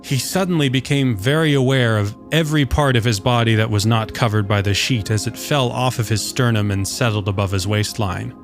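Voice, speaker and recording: A man's voice: medium pitch, a little fast, hardly any pauses. A man reading scripted fiction as the narrator of a story. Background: soft guitar music.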